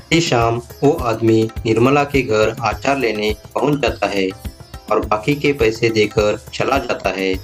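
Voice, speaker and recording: A man narrating a scripted moral tale as a storyteller. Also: lowish voice. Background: faint music.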